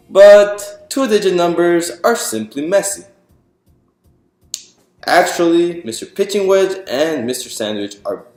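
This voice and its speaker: A man's voice: a little high, darkish tone. A man reading a picture book aloud to an audience in an explanatory, storybook narration style. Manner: explanatory, poses questions to listeners and answers them. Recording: roomy echo with music behind.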